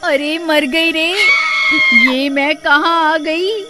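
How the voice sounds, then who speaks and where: A woman playing a bossy, fretful village wife in a cartoon, shrill and scolding. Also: fairly even intonation, drawn-out words, over loud music. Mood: alarmed. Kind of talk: Hindi cartoon acting.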